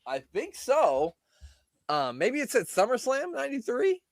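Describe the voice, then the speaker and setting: A man's voice: very high voice, huge pitch swings, unhurried, no room sound, clean studio sound. A man co-hosting a casual podcast, joking and laughing as he chats over an old wrestling broadcast. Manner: joking, laughs often, banters. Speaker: podcast co-host.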